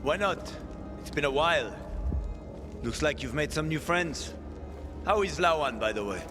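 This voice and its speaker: A man's voice: fairly high pitch, animated, normal speed, close to an everyday voice. An actor playing a cynical, bitter man cornered in a zombie apocalypse, curt and sardonic, in a video game cutscene. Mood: sardonic, guarded. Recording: music under the voice.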